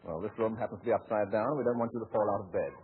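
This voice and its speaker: An actor playing a brisk, mock-serious doctor in 1940s radio comedy, with an excitable, emphatic delivery. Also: male voice, on the low side, phone-quality sound, close to an everyday voice. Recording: crackly noisy 1940 radio broadcast.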